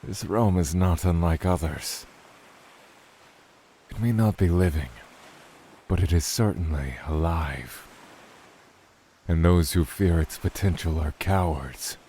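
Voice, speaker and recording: An actor playing a brooding lord of a dark void realm in an audio drama, speaking in a measured, solemn way. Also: male voice, deep voice. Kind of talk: audio drama first-person narration and dialogue. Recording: faint noise under rain and thunder. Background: rain and thunder ambience.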